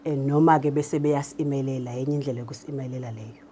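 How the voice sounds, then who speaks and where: A South African woman whose first language is Zulu gives explanatory answers as a producer. Her voice is notably low and soft-edged. A little room noise sits behind it. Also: steady tone, quick pace. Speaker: radio drama producer. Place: radio drama studio.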